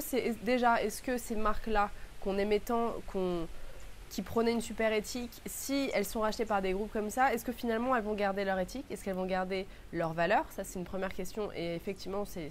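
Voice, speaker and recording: Young woman w a blocked nose, cold, chatting earnestly to camera, bit of hiss behind her. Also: medium pitch. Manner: thoughtful, earnest, lists words in a row. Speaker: beauty vlogger. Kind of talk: casual reflective talk to camera.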